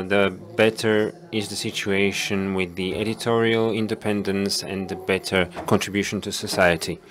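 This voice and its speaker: A man with a fairly low voice moderates a panel discussion. He speaks in measured, halting phrases, restarting now and then as he sums up and moves to the next question.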